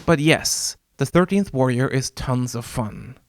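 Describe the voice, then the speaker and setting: A man whose first language is Norwegian, hosting a video essay in a measured, wry scripted narration with dramatic pauses. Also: mid-range voice, animated, heavy background noise. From Norway.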